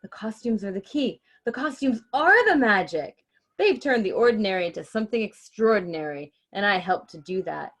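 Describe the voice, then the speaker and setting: A woman's voice: medium pitch, expressive, moderate pace, a bit bright. A woman reading a children's story aloud expressively and chatting casually as host.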